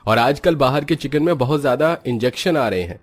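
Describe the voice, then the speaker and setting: A matter-of-fact doctor from a cartoon tale, played by a man. He gives measured advice in a mid-pitched voice, with soft music behind him. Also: animated, close to an everyday voice. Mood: earnest advice. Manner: measured, advisory.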